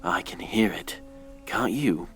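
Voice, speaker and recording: A man's voice: deep voice, slow, reverberant. An actor playing a morbid, devoted male grave-robbing companion in a horror audio drama, with a dramatic, eager manner. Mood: hushed excitement.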